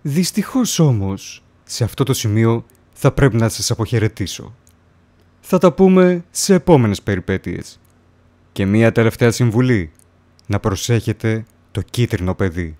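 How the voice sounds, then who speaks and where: A man speaking as a horror story host, in an ominous, theatrical, drawn-out scripted manner. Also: lowish voice, animated, darkish tone.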